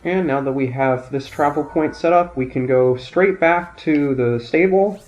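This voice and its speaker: A man calmly commentating his gameplay, thinking aloud, his voice nasal and raspy from a sinus infection. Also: on the high side, a little slow. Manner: calm, explanatory, thinking aloud. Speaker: let's play commentator. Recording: dull lo-fi audio, faint game music.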